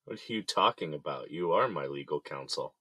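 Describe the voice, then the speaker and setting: A man's voice: rumbling low, animated, a little fast, sharp tone, slightly rough, dry recording, heavily acted. A man playing an indignant, desperate company boss in jail, in an audio drama. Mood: anxious.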